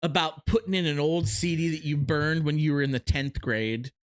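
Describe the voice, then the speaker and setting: A man's voice: a little high, fairly even intonation, normal speed. A middle-aged man with a cartoon-character voice chatting casually as a podcast co-host, enthusiastic and playful. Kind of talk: casual chat.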